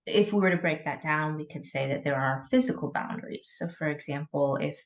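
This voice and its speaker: Thoughtful low-voiced woman coach. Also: a little fast. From Michigan, USA. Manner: thoughtful, hesitant, with fillers and light laughs. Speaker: coach, podcast guest. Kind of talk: podcast interview.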